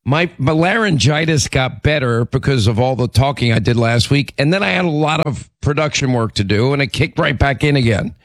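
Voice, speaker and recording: A middle-aged man hosting a talk radio show with a hoarse, raspy voice from laryngitis, clearing his throat and talking emphatically. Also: mid-range voice, animated, a little fast, few pauses, no echo. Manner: emphatic, repetitive, combative. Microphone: studio microphone.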